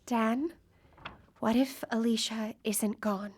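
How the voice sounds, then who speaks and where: A driven, earnest woman with a fairly high voice. She speaks intently and haltingly, as live radio drama. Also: steady tone, a little mellow, slightly rough. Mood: fervent. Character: earnest, driven woman hunting for her lost friend.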